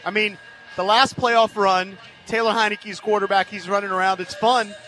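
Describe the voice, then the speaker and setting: A man with a high voice talks sports as a radio guest, drawing out his points in a conversational way. Faint music plays behind him at a live event. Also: flat delivery, normal speed, bright, forceful tone.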